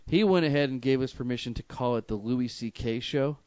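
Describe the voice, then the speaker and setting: A man's voice: medium pitch, a little fast, a little mellow, smooth, dry recording. Guy hosting a comedy stream, mid voice, jokey and sweary, dull dry lofi sound. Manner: loose, joking, sweary, many fillers. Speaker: host. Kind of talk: casual comedy chat. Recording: dull lo-fi live stream audio.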